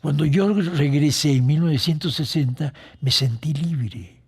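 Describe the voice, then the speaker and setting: An older man with a Costa Rican accent, reminiscing reflectively in an interview, halting and pausing mid-phrase. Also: fairly high pitch, darkish tone, breathy edge. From Costa Rica. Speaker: composer being interviewed.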